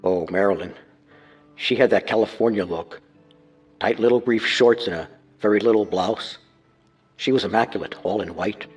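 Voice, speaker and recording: An actor playing a creepy, smug imprisoned killer who reminisces with sinister relish in a true-crime audio drama. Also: male voice, fairly low pitch, moderate pace, pauses often, slightly rough, big-room sound. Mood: lecherous, wistful. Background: music.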